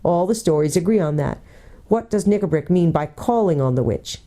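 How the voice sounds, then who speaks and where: A woman's voice: lowish voice, expressive, normal speed, warm tone, natural voice. A woman voicing a learned male doctor in a storybook, urgent and insistent as he reasons.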